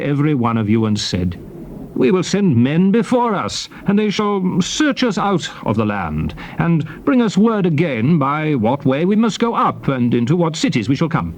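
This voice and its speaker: Moses, a declamatory prophet. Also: male voice, a little high, a little mellow. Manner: declamatory, formal, emphatic phrasing. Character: Moses, solemn male prophet leader addressing his people. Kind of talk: dramatized scripture oratory. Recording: noisy old cassette tape transfer.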